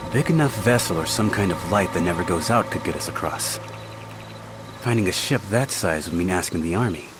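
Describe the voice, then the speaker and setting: An actor playing a composed, courteous senior man of a martial world in a video game, speaking in a measured, formal way. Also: sits low, lively intonation, noisy background. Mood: serious. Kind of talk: video game cutscene acting.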